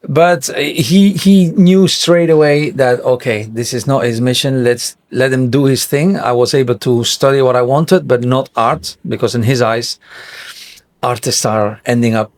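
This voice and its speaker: Animated Belgian man in his fifties. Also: mid-range voice, unhurried. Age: 50s. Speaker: painter and interview guest. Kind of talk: casual conversational interview.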